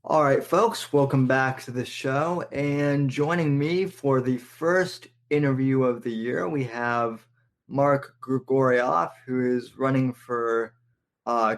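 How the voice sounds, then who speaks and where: A man hosting a podcast, introducing and interviewing a guest, with frequent fillers and pauses. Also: mid-range voice. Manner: halting, with many fillers. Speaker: podcast host.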